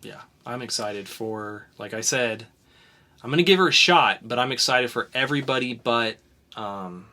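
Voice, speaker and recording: A young man riffs through the week's news as a podcast co-host, his voice bright and forward. He talks loosely, with ums and restarts, and rushes ahead when something excites him. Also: mid-range voice. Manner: chatty, with fillers and bursts of speed. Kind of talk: casual news chat.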